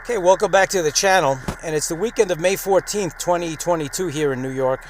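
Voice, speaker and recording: A man's voice: fairly high pitch, a little fast. A man, presenting an amateur radio vlog in an explanatory way. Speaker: host of an amateur radio channel. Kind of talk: vlog narration with radio call-sign operating. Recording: clearly noisy recording.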